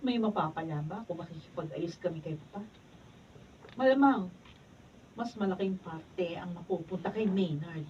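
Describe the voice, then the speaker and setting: An actress playing a greedy, spoiled grown daughter in a radio drama, sneering and mocking. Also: female voice, on the low side, normal speed, darkish tone, close to an everyday voice. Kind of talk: radio drama acting, read from script. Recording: dull lo-fi, distant, faint room noise.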